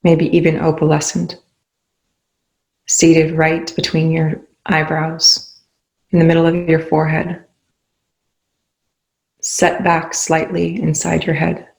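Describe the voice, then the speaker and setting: A meditation guide leading a session in a soothing, unhurried manner with long pauses between phrases. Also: mid-range voice, completely flat delivery, creaky, low-quality recording. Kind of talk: guided meditation, spoken slowly.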